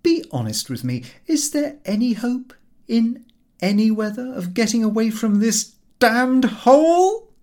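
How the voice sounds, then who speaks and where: A man voicing a pompous gentleman yachtsman from a comic storybook of 1900, formal, emphatic and exasperated. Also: very high voice, sing-song, measured pace, pitched above the performer's own voice, heavily acted. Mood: pleading.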